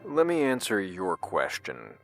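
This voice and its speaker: A man playing a grave scientist in scripted audio drama dialogue, speaking deliberately with hesitant pauses. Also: sits low, huge pitch swings, vocal fry, fairly bright tone, exaggerated voice. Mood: explaining. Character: grave, secretive male scientist and his questioning double. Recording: music under the voice. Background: music.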